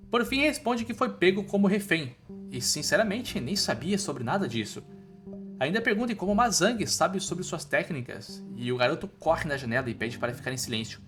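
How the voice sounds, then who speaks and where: A man's voice: fairly high pitch, fairly bright tone. A man with a Brazilian accent doing scripted story narration in an informal, playful way with slangy wording. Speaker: recap narrator.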